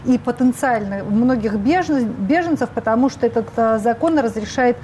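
Female interviewer, slightly high pitch, pressing and direct. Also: moderate pace, darkish tone, a little hiss or hum. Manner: pressing, follow-up questions. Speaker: interviewer, host. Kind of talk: radio interview.

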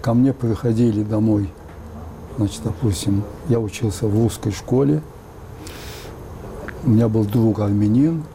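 An older man from Baku, raised speaking both Azerbaijani and Russian, thoughtfully recalling the past in an interview with many pauses and fillers. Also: lowish voice, background noise. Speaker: interview guest. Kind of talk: reflective interview talk.

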